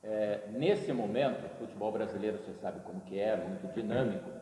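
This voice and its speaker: Brazilian male host, long winding questions, dark voice in a very echoey room. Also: lowish voice, brisk. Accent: Brazilian Portuguese. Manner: rambling questions, frequent "né". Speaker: interviewer, channel host. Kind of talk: interview questions.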